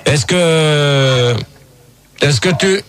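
A man's voice: on the high side, extremely slow, a bit bright. A man from the Paris region hosting a live call-in radio show, hesitant and filling gaps with sounds as he fields callers. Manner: hesitant, full of filler sounds and repeats.

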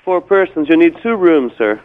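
Polite receptionist over a tinny phone. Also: male voice, fairly high pitch, expressive, normal speed. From United States. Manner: patient, formal, says sir. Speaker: hotel receptionist. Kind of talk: polite phone service talk.

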